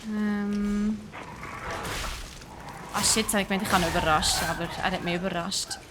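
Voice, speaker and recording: A woman's voice: mid-range voice, fairly even intonation, moderate pace, noisy background. A young Swiss woman with a Swiss German accent, live-streaming a game with chatty, self-mocking commentary and English exclamations thrown in. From Switzerland.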